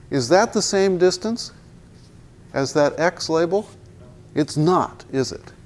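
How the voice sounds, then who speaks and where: A man in his sixties giving a university physics lecture in a large hall, wearing a lapel mic, joking and asking the class rhetorical questions as he walks to the chalkboard. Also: on the high side. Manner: walks and points at the chalkboard while talking.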